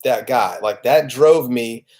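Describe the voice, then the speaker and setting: A man's voice: mid-range voice, a little mellow. A man in his late thirties giving an informal, reflective motivational monologue, talking earnestly and searching for his words as he goes. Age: late 30s. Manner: halting, searching, conversational, earnest. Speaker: motivational video host.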